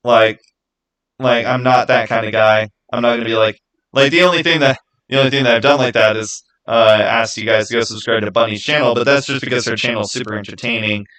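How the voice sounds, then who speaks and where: Rambling, halting young-channel streamer guy. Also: mid-range voice, fairly even intonation, fairly bright tone, poor audio. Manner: rambling, halting, repeats phrases. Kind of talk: casual livestream chat to viewers.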